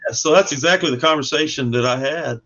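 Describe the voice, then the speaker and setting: A man's voice: fairly high pitch, quick pace, fairly bright tone, highs cut off. A man speaking as a guest comic creator, chatting casually about his own project.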